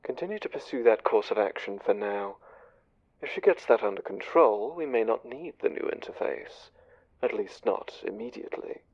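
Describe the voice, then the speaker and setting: A man playing a smooth, commanding, conniving spymaster angel in an audio drama, speaking with measured, condescending menace. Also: fairly low pitch, animated, darkish tone, no echo, highs cut off. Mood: calculating. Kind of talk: audio drama roleplay, one side of a conversation.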